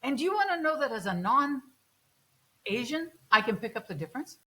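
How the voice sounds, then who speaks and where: A woman's voice: sits high, sing-song, normal speed, bright, forceful tone, slight background noise. A woman from Canada hosting a casual interview, chatty and empathetic, sharing her own experiences. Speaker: interview host.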